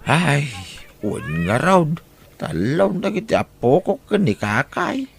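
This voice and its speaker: A man's voice: fairly high pitch, very animated, takes it slowly, exaggerated voice. A man playing a humble older farmer and grandfather, talking deferentially in an audio drama. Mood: worried, humble. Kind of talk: conversational audio drama acting. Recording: clearly noisy background.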